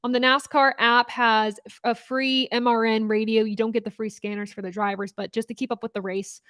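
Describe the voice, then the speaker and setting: Chatty young woman, higher voice. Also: flat delivery, fairly quick, fairly bright tone, smooth, no echo, studio quality. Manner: chatty, upbeat, laughs often, many fillers and restarts. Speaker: host of a live racing talk show. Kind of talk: casual live-stream chat answering viewer questions.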